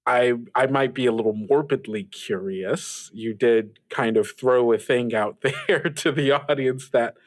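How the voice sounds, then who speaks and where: Male interview host acted by a man, medium pitch, affable and curious, easily flustered, halting improvised delivery. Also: close to an everyday voice. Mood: flustered, polite. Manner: stammering, awkwardly polite, curious.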